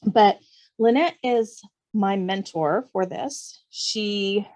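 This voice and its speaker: A woman's voice: medium pitch, drawn-out words, a little mellow. A woman speaking casually as a guest author in an interview.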